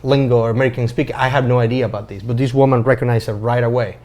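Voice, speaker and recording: A man from Venezuela, a native Spanish speaker with a Venezuelan accent, chatting casually and telling anecdotes in halting, restarted phrases. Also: mid-range voice, fairly even intonation, a little mellow. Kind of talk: casual chat.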